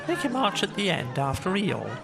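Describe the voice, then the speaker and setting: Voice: medium pitch, huge pitch swings, moderate pace, vocal fry, music in the background, crowd noise, pitched above the performer's own voice. A storybook narrator voicing a self-important rabbit with a careless, offhand manner. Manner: careless, dismissive.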